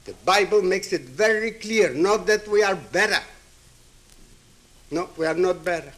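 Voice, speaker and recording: A man's voice: high-pitched, a little slow, fairly bright tone. A man in his seventies from Ukraine, a native Hebrew and Yiddish speaker, giving a reflective public address in an emphatic way, with rhetorical questions and repetition. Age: 70s.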